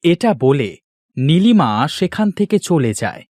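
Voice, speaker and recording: A man's voice: fairly high pitch, big pitch swings, unhurried, mellow, dry recording, studio quality. A man reading scripted storytelling narration for a cartoon fairy tale.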